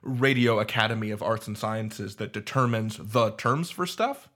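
A man's voice: lowish voice, animated, normal speed, a bit bright. A man on a panel, talking informally while mixing in academic terms. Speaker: roundtable panelist. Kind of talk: casual intellectual discussion.

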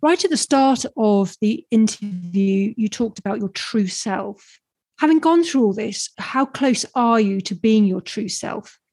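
A woman interviewer asking calm, measured questions. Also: mid-range voice, no echo, soft background music.

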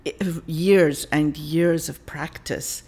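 South African woman, 60s, low gravelly voice, chatty coach, laughs easily. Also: fairly even intonation, slow pace, a little mellow. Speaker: life and leadership coach. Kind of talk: podcast interview.

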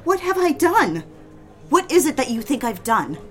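Actress as fragile, high-voiced young wife, music underneath. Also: female voice, sing-song, moderate pace. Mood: hurt, accusing. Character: needy, defiant newly married daughter, emotionally fragile. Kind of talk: dramatic audio fiction with first-person narration.

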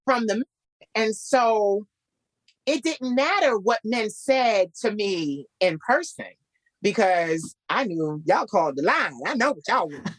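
A man with a naturally light voice, hoarse today and a little husky, telling his story animatedly as a guest. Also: measured pace, no echo, low-quality recording. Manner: animated, repeats phrases, jumps high for quoted voices. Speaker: guest musician. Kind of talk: casual interview storytelling.

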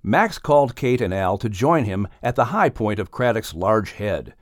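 Animated male storybook narrator. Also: fairly low pitch, normal speed, dry recording, studio quality. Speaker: audiobook narrator. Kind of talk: scripted children's story narration.

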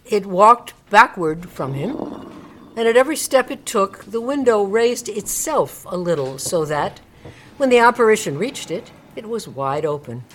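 A woman's voice: mid-range voice, very animated, unhurried, slight background noise. A woman narrator reading a classic story aloud as dramatic storybook narration for a radio play.

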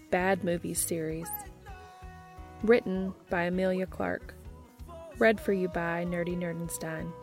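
Low-voiced woman narrating, creaky and close. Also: a little mellow. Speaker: podcast host and fiction reader. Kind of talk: scripted narration, audiobook reading.

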